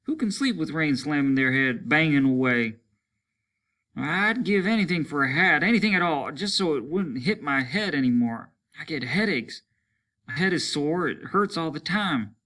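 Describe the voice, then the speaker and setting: A man's voice: fairly high pitch, animated, moderate pace, sharp tone, voice pushed up. A man voicing a weary, aching soldier who complains in an agitated, rambling way. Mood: fretful, miserable. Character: weary, aching soldier worn down by endless rain.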